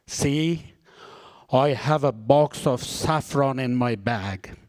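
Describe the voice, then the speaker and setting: A man from Afghanistan with as his recites a poem slowly and deliberately, each phrase given room. His voice is dry and close on a handheld microphone. He sounds middle-aged and a little hesitant. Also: medium pitch, a little mellow, no room sound. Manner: hesitant, halting delivery. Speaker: exiled writer reading a poem. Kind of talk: poetry recitation at a panel. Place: seminar hall with audience.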